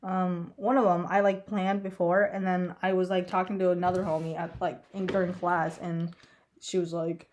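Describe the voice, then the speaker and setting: A woman's voice: lowish voice, little pitch movement, normal speed, a little mellow, a little hiss or hum. A young woman, a college student, chatting casually while getting ready, stuffed up and congested, rambling with lots of fillers, swearing and laughing. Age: young adult. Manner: rambling, profane, giggly, many fillers. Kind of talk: casual get-ready-with-me vlog chat.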